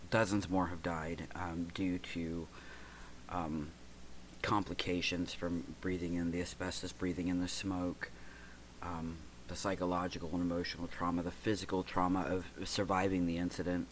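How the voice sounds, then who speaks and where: A deep-voiced man in his forties, stuffy and congested from allergies, talking in a halting, searching way full of pauses and fillers. A faint hum sits under his voice as he reflects as a host from the United States. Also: fairly even intonation, poor audio. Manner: halting, with many fillers and restarts. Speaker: podcast host. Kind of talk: personal reflective talk.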